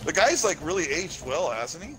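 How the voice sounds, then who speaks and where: A middle-aged man talks casually as a podcast guest. He is enthusiastic and laughs easily. His pitch moves a lot and stays lively. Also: moderate pace, soft background music. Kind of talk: casual chat.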